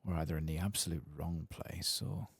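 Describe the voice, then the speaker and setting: A soft-spoken man hosting an ASMR game playthrough, muttering to himself and at times dropping to a whisper. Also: low voice, steady tone, moderate pace, vocal fry, breathy edge, no room sound. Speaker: host of a game playthrough channel.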